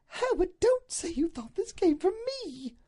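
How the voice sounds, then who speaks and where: A woman voicing an anguished, highly strung duchess in a storybook reading, pleading and breathless in a melodramatic way. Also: big pitch swings, normal speed, warm tone. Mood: shocked.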